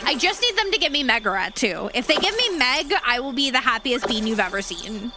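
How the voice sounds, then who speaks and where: A woman streamer chatting casually with her audience while gaming, bubbly and sweet, her voice going hoarse. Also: a little high, big pitch swings, a little fast, background noise. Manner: bubbly and sweet, thanking chat.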